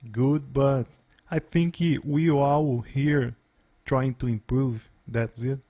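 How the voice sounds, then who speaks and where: A man's voice: medium pitch, steady tone, takes it slowly, dark tone. A man from Brazil whose first language is Portuguese, chatting in English on a practice call, friendly and repeating words. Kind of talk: casual conversation practice.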